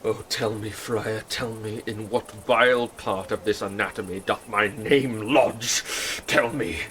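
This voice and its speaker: A man's voice: lowish voice, steady tone, unhurried. A man playing a distraught, impetuous young banished lover in classical Shakespearean radio drama, declaiming with anguish. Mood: desperate, grieving. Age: young adult. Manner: declamatory, anguished, bursting into shouts and sobs. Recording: faint hiss, 1990s radio drama CD.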